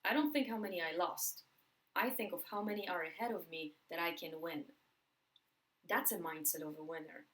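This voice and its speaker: A woman's voice: on the low side, fairly bright tone. Emphatic Polish-accented motivational woman. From Poland. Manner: emphatic, repeats words for stress, slows on key phrases. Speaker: motivational speaker. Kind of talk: motivational talk to camera.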